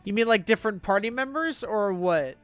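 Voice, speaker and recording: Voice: medium pitch, lively intonation, a little fast, fairly bright tone, clear, resonant tone. A video game streamer giving casual, slangy, offhand live commentary on the game being played. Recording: game music behind the voice. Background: game music.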